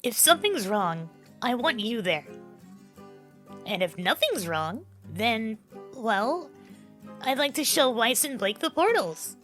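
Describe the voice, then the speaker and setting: Woman voicing an anxious young royal, very high-pitched. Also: very animated, slow, a bit bright, faint music behind, full character voice. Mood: urgent, determined. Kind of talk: fan dub voice acting.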